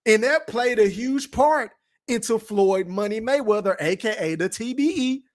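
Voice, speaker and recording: A high-voiced man with a Texan accent holds forth on a casual livestream. He is blunt and emphatic, swears freely, and keeps addressing the chat. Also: lively intonation, normal speed. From Texas, Dallas-Fort Worth. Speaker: podcast host reading live chat.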